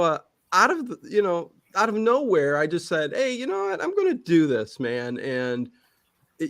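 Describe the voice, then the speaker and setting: High-voiced man, chatty storyteller, dry sound. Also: dry recording. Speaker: livestream channel host. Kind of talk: casual livestream storytelling.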